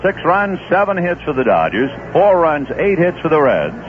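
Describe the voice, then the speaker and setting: A man calling a baseball game live on radio, with relaxed, conversational play-by-play and chatty asides. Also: on the high side, moderate pace. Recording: dull old radio broadcast with noise.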